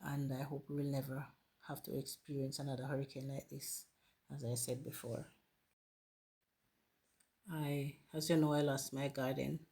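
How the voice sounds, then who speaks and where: A Jamaican woman hosting her gardening channel, chatting casually with viewers while planting, hoarse from a flu and often calling them guys. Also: very deep voice, flat delivery, normal speed, long pauses. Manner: warm, grateful, addresses viewers as guys. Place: home garden.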